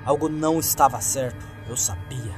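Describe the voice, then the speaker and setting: A man's voice: medium pitch, moderate pace. Brazilian man narrating horror over music. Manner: suspenseful, acts out the lines. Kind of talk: dramatic scripted narration of a horror story.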